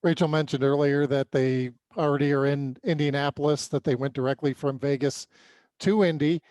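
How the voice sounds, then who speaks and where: A man's voice: a little high, steady tone, normal speed, a little mellow, dry recording. An American man co-hosting a sports podcast, asking questions in a conversational way.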